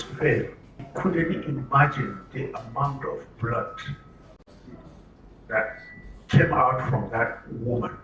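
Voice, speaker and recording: A man's voice: mid-range voice, fairly bright tone. A man leading a workshop as trainer, speaking in an interactive lecture style with emphatic, rhetorical questioning. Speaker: trainer addressing media participants at an orientation. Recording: roomy echo, distant mic, faint noise.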